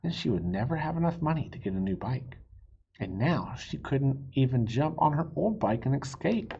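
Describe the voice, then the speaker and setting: Male children's book reader: animated, explanatory, very raspy, swinging pitch, dull lo-fi sound. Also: normal speed. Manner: animated, explains words to young listeners. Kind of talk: expressive storybook read-aloud with asides.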